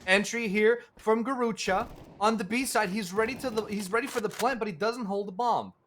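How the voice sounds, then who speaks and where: A man giving play-by-play commentary on an esports match, calling the action briskly as it unfolds. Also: high-pitched, a bit bright, slight background noise. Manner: brisk, calls action as it happens.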